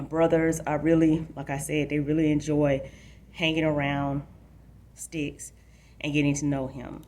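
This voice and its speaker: A woman's voice: low-pitched, a little mellow. A woman hosting a book program for children, explaining things directly to young listeners and reading aloud. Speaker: library book show host. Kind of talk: informal book talk and read-aloud for children.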